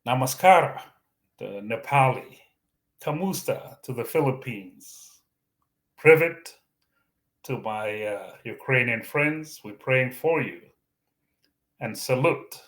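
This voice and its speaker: A man whose first language is Arabic hosts his own channel, giving a Bible teaching talk in a slow, deliberate way with many fillers and restarts. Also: mid-range voice, lively intonation, fairly bright tone. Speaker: YouTube channel host.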